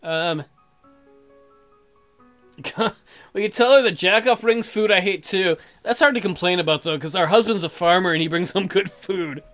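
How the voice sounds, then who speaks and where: A woman's voice: on the low side, normal speed, long pauses, soft background music. A woman hosting a let's play, casually reading game dialogue aloud and commenting in a joking, slangy way.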